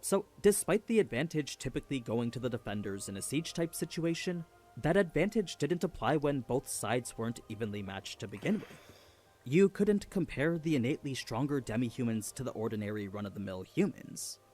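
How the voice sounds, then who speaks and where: Animated male narrator with a lively pitch. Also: a little fast, soft background music. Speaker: narrator of a story recap video. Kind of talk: scripted narration.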